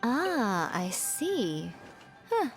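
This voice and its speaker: A woman's voice: on the high side, sing-song, extremely slow, over music, full character voice. A woman voicing a sly, playful and self-assured fox shrine maiden in a video game, speaking in a teasing, composed, knowing way. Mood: pensive, assured.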